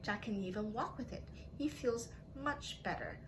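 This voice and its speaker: A woman reading and teaching for young children as a story-time host, in simple, drawn-out phrases addressed to the kids, on a noisy recording. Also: fairly high pitch, expressive. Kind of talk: read-aloud narration and playful teaching to young children.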